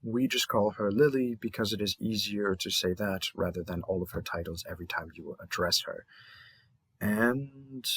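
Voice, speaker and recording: A friendly male matchmaker for a monster dating app, acted by a man, presents with courteous, deliberate pauses. His voice sits fairly low and has a husky grain, recorded close and dry. The delivery is measured and polite, as in a scripted audio roleplay. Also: fairly bright tone, dry recording, full character voice.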